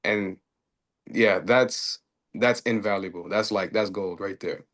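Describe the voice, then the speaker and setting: A man on a networking video call, friendly and full of fillers, speeding up and slowing down. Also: on the low side, fairly bright tone. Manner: friendly, filler-heavy, uneven pace. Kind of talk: casual group chat.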